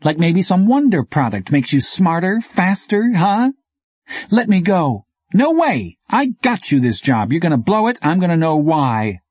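A man's voice: a little high, big pitch swings, a little slow, a little mellow, breathy edge, no echo, highs cut off, voice pushed up, heavily acted. A man voicing a brash, teasing bike courier with slangy, clipped, needling talk in an audiobook. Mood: angry, needling. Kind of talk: audiobook character dialogue.

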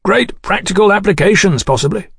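A man's voice: high voice, lively intonation, a little fast, gravelly, fairly bright tone, slightly rough, no echo, clean studio sound, voice pushed up, exaggerated voice. A man voicing a keen, proud British engineer in an audiobook, earnest, technical and chummy. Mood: keen, explanatory. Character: keen, proud male British engineer explaining his machines.

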